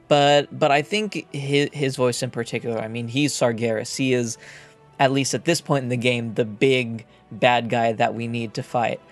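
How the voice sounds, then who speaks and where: A man hosts a gaming video in an ordinary mid-range voice. He explains things casually, hesitating and repeating phrases as he goes, with music in the background. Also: mid-range voice. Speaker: gaming YouTuber, host. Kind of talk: casual game lore commentary.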